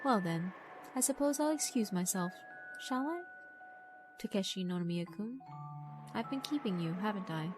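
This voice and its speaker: A woman's voice: medium pitch, lively intonation, music in the background, natural voice. A woman voicing a polite, sinister old male headmaster spirit in a horror visual novel, speaking formally. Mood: genteel menace. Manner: formal, polite, theatrical slow chuckle.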